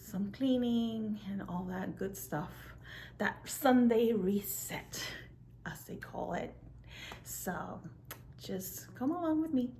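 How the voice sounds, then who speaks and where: A young woman from Puerto Rico with a Spanish accent, chatting casually at home in a weekend vlog, relaxed and rambling with fillers. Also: medium pitch, echoey room, a little hiss or hum. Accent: Puerto Rican Spanish. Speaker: vlogger.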